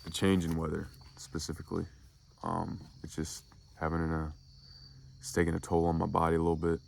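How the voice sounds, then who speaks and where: Congested nasal guy, real deep gravelly voice, slow ramble for spiritual asmr, crickets in the back. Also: monotone, darkish tone, a little hiss or hum. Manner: slow, meandering reflective musing. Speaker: ASMR spiritual channel host. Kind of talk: soft-spoken ASMR spiritual talk.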